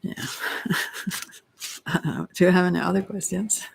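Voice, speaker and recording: A woman with a creaky voice giving an ASMR tarot reading in a hesitant, rambling, casual way, often trailing off. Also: on the low side, normal speed. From Sweden. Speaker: tarot reader, ASMR creator.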